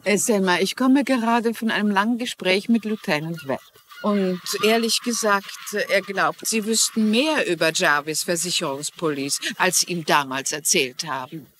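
A woman's voice: medium pitch, normal speed, fairly bright tone, slight background noise, close to an everyday voice. An actress playing a shrewd, courteous older woman amateur sleuth in a German-dubbed TV crime drama, composed and inquisitive. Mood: composed, probing.

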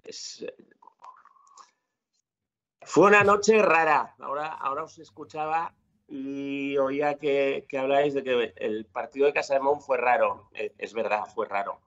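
Man, from Spain, guest on a video call, halting speech, middle pitch, dull lo-fi audio. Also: a bit bright. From Spain, born in Zaragoza, raised in Girona. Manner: hesitant, many restarts and fillers. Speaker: guest podcaster. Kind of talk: casual chat on a video call.

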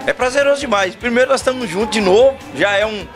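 Guy from a singing duo gushing thanks backstage, high voice, band blasting behind. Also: fast talker, soft background music. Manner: effusive, grateful. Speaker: singer in a country duo, interviewed. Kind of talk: backstage press interview. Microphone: handheld interviewer mic.

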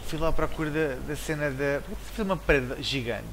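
A young man with a European Portuguese accent, commentating a survival game in a headset, excitable and swearing a lot. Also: on the high side, fast talker, noisy background. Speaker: gaming streamer. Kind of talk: casual let's-play commentary.

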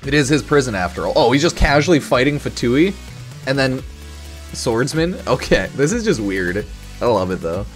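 A man hosting a reaction video, commenting live in casual, excited, slangy exclamations. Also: mid-range voice, normal speed. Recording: game music behind the voice. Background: game trailer music and sound.

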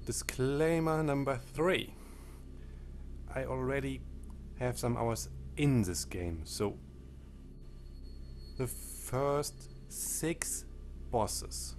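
A man whose first language is German, talking over a game menu in halting phrases that break off mid-sentence. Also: medium pitch, a little mellow. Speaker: let's play commentator. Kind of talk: game commentary with disclaimers. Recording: faint game menu music behind.

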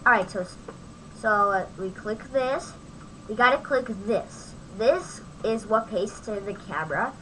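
A woman giving a casual, off-the-cuff software tutorial, thinking aloud as she demonstrates on a home microphone recording. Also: medium pitch, expressive, a little slow, slight background noise, poor audio. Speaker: tutorial host.

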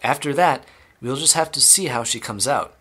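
A man's voice: mid-range voice, normal speed, pushed and bright, breathy edge. A man voicing an eccentric sweet inventor in a children's story, rueful yet blithe, with a fussy, sighing manner. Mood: brisk, reassuring.

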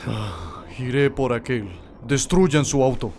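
A man's voice: mid-range voice, expressive, slow pace, noisy background. A man voicing a dry, disapproving cartoon cat henchman in a fan dub, with a Latin American Spanish accent. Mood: cold. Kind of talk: animated fan dub acting. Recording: music behind the voice.

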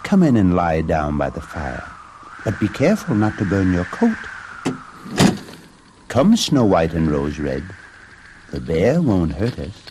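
A man voicing a kindly, calm mother in a storybook tale. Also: deep voice, a little slow, long pauses, background noise, heavily acted. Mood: welcoming. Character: kindly, calm, welcoming mother of two girls.

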